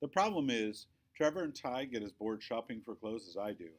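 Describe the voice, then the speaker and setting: Male storybook reader, mid-pitched, expressive read-aloud of a children's novel. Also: moderate pace. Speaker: audiobook-style reader.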